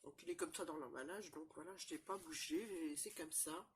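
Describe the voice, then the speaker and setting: A woman with a cold, chatting casually through a crafting demonstration, rambling and filling pauses often. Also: low-pitched, a little hiss or hum. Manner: chatty, rambling, constant fillers and "voilà". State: has a cold, stuffy. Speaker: crafting channel host. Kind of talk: casual show-and-tell crafting demo.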